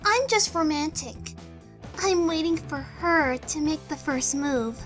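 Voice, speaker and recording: A young cartoon girl pony with a very high, bright voice that leaps around expressively. She is sassy and exclamatory, in the style of a fan audio drama. Music plays softly behind her. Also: expressive, slow, exaggerated voice. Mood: girlish, teasing.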